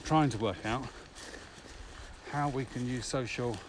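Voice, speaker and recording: A man's voice: mid-range voice, a little slow. A man co-hosting a podcast in an informal, conversational way. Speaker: podcast co-host. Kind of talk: casual chat. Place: outdoors, walking in the countryside. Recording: outdoor walking recording with ambient noise.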